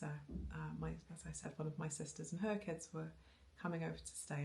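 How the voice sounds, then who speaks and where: A woman's voice: deep voice, creaky, darkish tone. A woman in her thirties chatting casually to camera about knitting, rambling with ums, restarts and little laughs, recorded in her living room. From Wales. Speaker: knitting vlogger. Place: living room with bookshelves, indoors. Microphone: camera on a tripod facing her.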